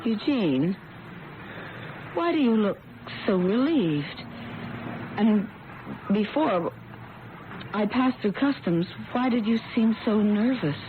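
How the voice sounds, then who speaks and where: A woman's voice: medium pitch, lively intonation, slow, long pauses. An actress playing a distressed, honest woman who questions her deceitful companion insistently in an old-time radio drama. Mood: suspicious, insistent. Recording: tinny narrowband old radio recording, noisy.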